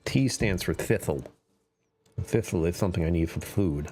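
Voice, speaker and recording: A man's voice: low-pitched, normal speed, dark tone, faint music behind. A man chatting casually while playing a survival game on a live stream, his voice a little strained, musing to himself and drifting into whispers. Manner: chatty, self-talking, trails off into whispers. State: slightly strained voice. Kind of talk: casual gameplay commentary.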